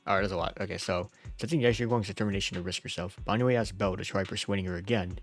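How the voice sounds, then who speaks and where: Guy streamer w/ lowish voice, bit sick, chatty and jokey reading game story, soft music behind. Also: steady tone, very fast. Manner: informal, jokey, often chuckling. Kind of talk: casual playthrough commentary and reading of story text.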